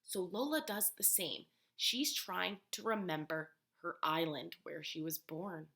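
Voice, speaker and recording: A woman teacher reading a storybook aloud to young children, pausing to explain words and ask questions. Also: lowish voice, creaky, bright, forceful tone. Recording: roomy, echoey room sound.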